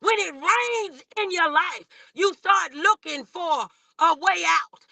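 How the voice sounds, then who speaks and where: Female American preacher-host, high-pitched and very forceful, exhorting an online congregation, close dry sound. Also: takes it slowly, vocal fry, dry recording. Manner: exhorting, calling on listeners with repeated rhetorical questions. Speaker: host and minister preaching morning motivation. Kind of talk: motivational sermon to an online audience.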